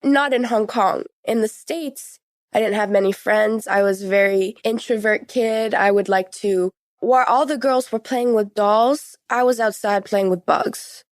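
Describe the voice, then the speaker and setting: Female, late teens, podcast guest. Frank, informal teen talk, mid pitch, close dry sound. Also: a little slow, no room sound. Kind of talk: personal interview, recounting experiences.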